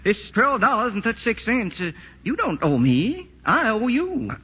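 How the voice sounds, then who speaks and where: Country tradesman: folksy, laconic, high lilting voice, vintage radio comedy, echoey and dull. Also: very animated, moderate pace, pushed and bright, slightly rough, exaggerated voice. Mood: kindly. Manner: homespun, laconic. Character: folksy, slow-spoken, honest country tradesman. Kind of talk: 1940s radio comedy acting. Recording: muffled, noisy, echoey radio broadcast.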